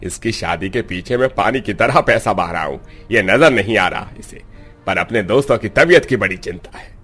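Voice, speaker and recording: A man's voice: lowish voice, lively intonation, moderate pace, fairly bright tone, slightly rough, soft background music. Man playing an exasperated middle-aged cartoon father. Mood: sarcastic. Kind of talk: Hindi moral-story cartoon dialogue.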